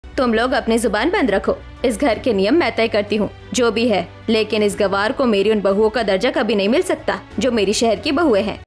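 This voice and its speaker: A woman's voice: on the high side, normal speed, soft background music, close to an everyday voice. Bossy scolding mother-in-law, acted by a woman. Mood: contemptuous, scolding. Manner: bossy, sharp-tongued. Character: harsh scolding mother-in-law, contemptuous of village bride. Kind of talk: Hindi family cartoon story acting.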